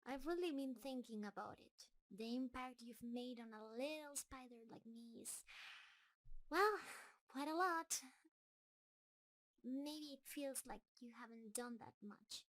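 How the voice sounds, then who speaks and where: Woman as lovestruck spider creature, high voice, slow pace, nervous, tender, doting, halting delivery, roleplay audio drama. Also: a bit bright, low-quality recording. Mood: tender, hesitant. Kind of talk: roleplay audio drama, intimate monologue to listener.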